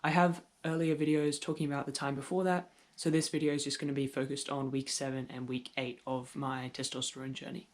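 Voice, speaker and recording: A young man whose voice is still in the middle of deepening sits before his camera for a personal video diary. He talks candidly and reflectively, at an ordinary pitch for his age, and slips in some gentle humor. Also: flat delivery, a little fast, hardly any pauses. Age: young adult. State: voice deepening on testosterone. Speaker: vlogger.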